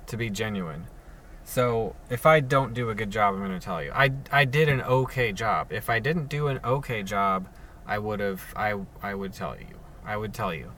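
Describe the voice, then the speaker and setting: Low, monotone man rambling in a car. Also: distant mic, a little hiss or hum. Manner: hesitant, halting, many fillers and restarts. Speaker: podcast host. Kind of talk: casual solo podcast monologue. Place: sitting alone in a parked car.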